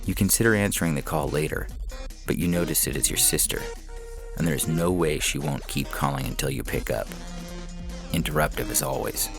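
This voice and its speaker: A man with an extremely low voice narrates short fiction. There is a heavy creak and gravel in his delivery, and music plays underneath as he reads. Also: a little fast. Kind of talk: scripted story narration in second person.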